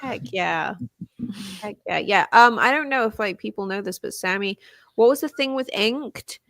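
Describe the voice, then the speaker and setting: A woman's voice: mid-range voice, expressive, normal speed, fairly bright tone, dry recording. A woman co-hosting a livestream, talking enthusiastically and gushing about a friend. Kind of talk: casual group chat on a livestream. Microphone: video call.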